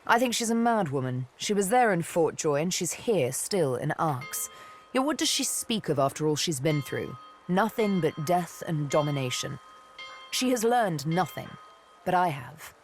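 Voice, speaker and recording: An actress voicing a seductive, menacing elven assassin woman in a fantasy game, intimate and teasing, with breathy, deliberate delivery. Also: deep voice, big pitch swings, soft background music. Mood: wry, resolute. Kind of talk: dramatic fantasy game voice acting.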